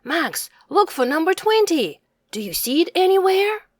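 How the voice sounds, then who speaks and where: A woman voicing an excited, clever little boy in an eager, exclaiming storybook read-aloud. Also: very high voice, moderate pace, no echo, pitched above the performer's own voice. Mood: eager, thinking.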